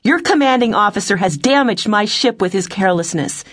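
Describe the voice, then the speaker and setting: Narrator lady doing a bossy fiery woman space captain, pitched up, sharp and impatient, audiobook style. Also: animated, normal speed, close to an everyday voice. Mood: furious. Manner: forceful, sarcastic, shouting orders. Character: fiery, commanding female starship captain, sharp-tongued and impatient.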